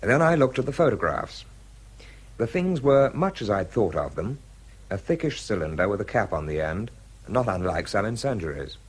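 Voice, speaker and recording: An actor playing a wry, anxious wartime fuse expert, narrating and speaking in an understated, hesitant way in a radio drama. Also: male voice, fairly low pitch, sing-song, normal speed, breathy edge. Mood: reflective, subdued. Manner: understated, hesitant when challenged.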